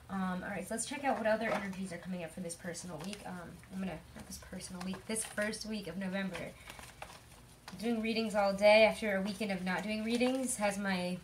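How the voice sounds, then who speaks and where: A young woman with a hoarse voice giving a chatty, rambling tarot reading, full of fillers. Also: mid-range voice, a little hiss or hum. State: hoarse from illness. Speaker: tarot reader. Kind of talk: casual tarot reading monologue.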